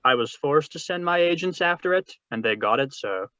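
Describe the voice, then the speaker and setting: A man's voice: a little high, lively intonation, normal speed, sharp tone, no echo. A man playing a suave, wordy older crime boss with pompous, florid politeness in a 1940s-style radio drama over a video call. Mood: intent, relishing. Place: remote read-through over a video call. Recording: muffled, dry video-call audio.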